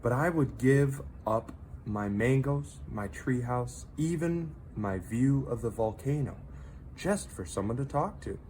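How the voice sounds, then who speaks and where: A reader voicing a lonely, yearning boy in a children's storybook, plaintive and expressive. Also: mid-range voice, unhurried, slight background noise, close to an everyday voice. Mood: wistful. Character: lonely, yearning boy who burns like fire.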